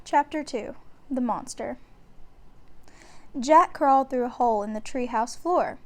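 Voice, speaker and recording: A woman reading a children's bedtime story aloud in a deliberate, expressive way. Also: on the high side. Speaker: storybook reader.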